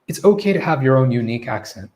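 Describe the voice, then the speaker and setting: A man's voice: mid-range voice, expressive, fast talker, darkish tone. A man who coaches English pronunciation, giving a casual live-streamed Q&A lesson in a chatty, loose way and often correcting himself. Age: adult, former teacher.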